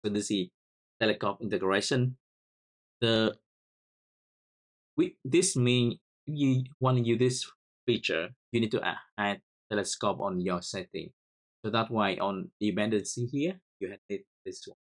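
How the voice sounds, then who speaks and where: A man with a Vietnamese accent walks through a coding tutorial in a lowish voice. His delivery is halting and he often repeats words as he types. He speaks English as a second language. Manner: halting, repeats words while typing key commands. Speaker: software tutorial host. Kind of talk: screen-recorded coding tutorial.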